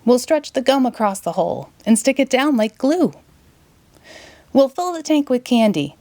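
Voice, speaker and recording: A woman with a Boston accent voicing excited, eager children in monster costumes in a storybook. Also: normal speed, no echo. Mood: eager, urging. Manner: high, eager, exclaiming. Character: excited trick-or-treating children dressed as monsters.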